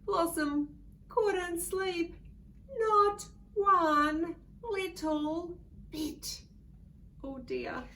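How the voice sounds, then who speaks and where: A woman reading a picture book aloud to young children in a playful, expressive way, drawing out words and acting out sounds. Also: very high voice, drawn-out words, long pauses. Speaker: children's story reader. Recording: distant mic with faint background noise.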